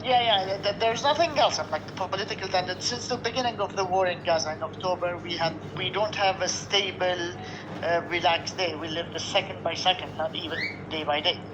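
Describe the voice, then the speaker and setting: A man's voice: sits high, steady tone, a little fast. A Lebanese man who runs a restaurant, answering a news interview in an earnest, weary way with short restarting phrases. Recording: dull lo-fi line with noise.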